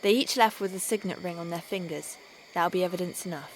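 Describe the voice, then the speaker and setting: A woman's voice: lowish voice, brisk, a little hiss or hum, lightly acted, low-quality recording. A woman playing a businesslike half-elf scout in a fantasy audio drama, talking in a conversational, matter-of-fact way. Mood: serious.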